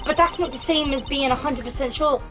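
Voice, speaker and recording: Woman as a plucky young female assistant, cheeky, insistent, high voice, video game courtroom, music behind. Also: a little fast, close to an everyday voice. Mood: pointed sympathy.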